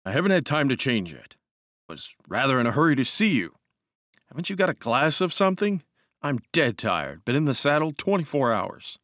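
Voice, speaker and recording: Guy putting on a jaunty young soldier voice, pitched up high, hearty and teasing, dry close sound. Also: very animated, normal speed, fairly bright tone, dry recording. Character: brash jaunty young male cavalry officer.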